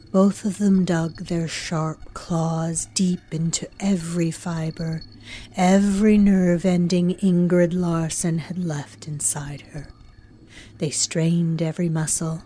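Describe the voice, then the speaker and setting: A woman narrating a horror audio drama in a solemn, hushed, deliberate and dramatic storytelling manner. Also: on the low side, steady tone, a little hiss or hum.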